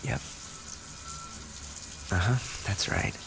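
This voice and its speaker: A man playing an affectionate, injured racing driver boyfriend in an intimate roleplay audio, speaking softly and tenderly, often close to a whisper. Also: drawn-out words, background noise. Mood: relaxed, affectionate.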